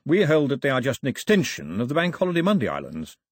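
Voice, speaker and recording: A man reading aloud and voicing a stately, fatherly official who speaks in a measured, placating, slightly pompous way. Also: mid-range voice, wildly swooping pitch, husky, no room sound, clean studio sound, pitched above the performer's own voice.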